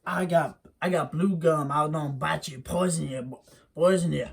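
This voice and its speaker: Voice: medium pitch, steady tone, moderate pace, creaky, slightly rough. A storyteller voicing the hairy man, a menacing male swamp monster who boasts and threatens. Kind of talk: oral folktale telling.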